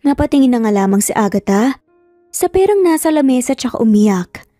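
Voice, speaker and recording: A woman's voice: fairly high pitch, very animated, fairly quick, warm tone, dry recording, studio quality. A woman narrating a scripted dramatic story as its storyteller. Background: background music.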